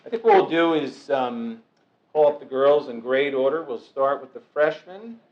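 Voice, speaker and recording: Man, committeeman, loud voice, upbeat, chatty, middle-aged, mid pitch. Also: expressive, moderate pace, muffled recording, slight background noise. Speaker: committeeman and recreation director. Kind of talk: award presentation remarks. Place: township meeting room.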